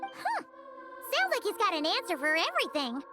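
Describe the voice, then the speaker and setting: An extremely high, squeaky fairy sidekick for a video game. Her bubbly, cheeky chatter swoops wildly up and down. Prominent music plays behind her. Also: wildly swooping pitch, normal speed, exaggerated voice. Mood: skeptical. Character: tiny chirpy, cheeky floating fairy companion.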